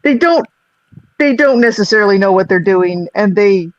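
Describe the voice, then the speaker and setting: A woman with a low voice, a singer who also runs live sound, chatting loosely on a group call with lots of restarts. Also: animated, moderate pace, highs cut off. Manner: rambling, restarts, self-deprecating laughs. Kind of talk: casual group video-call chat.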